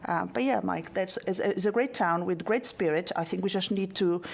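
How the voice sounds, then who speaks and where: A woman speaks on a discussion panel, her low voice carrying a gravelly fry. She talks earnestly, pausing on fillers and restarting her sentences as she works out her point. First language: Portuguese. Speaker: local councillor on a panel.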